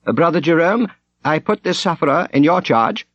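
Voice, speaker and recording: A man's voice: a little high, expressive, moderate pace, a bit bright, no echo, lo-fi sound, pitched above the performer's own voice. A man voicing a solemn, commanding monastery prior in an audiobook, formal and authoritative in manner. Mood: grave concern. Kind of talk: audiobook character voice.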